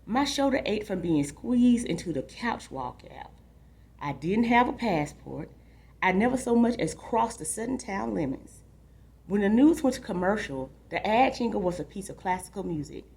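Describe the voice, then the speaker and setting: A woman's voice: mid-range voice, sing-song, normal speed, gravelly, darkish tone, husky, heavily acted. A woman reading a storybook aloud as a restless, imaginative young boy longing for adventure, in a dramatic, confiding way. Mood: deflated. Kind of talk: expressive storybook read-aloud.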